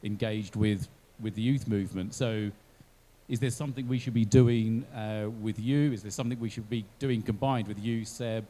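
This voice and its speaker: Low-voiced man thinking aloud. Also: steady tone, moderate pace, dark tone. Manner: thinking aloud, trailing restarts. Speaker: panelist from a blockchain company. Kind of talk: online panel discussion.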